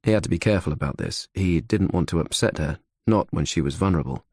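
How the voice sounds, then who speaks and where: Deep-voiced man narrating a thriller. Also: animated, fast talker, a little mellow, breathy edge, no room sound, clean studio sound. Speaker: audiobook narrator. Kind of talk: third-person thriller narration.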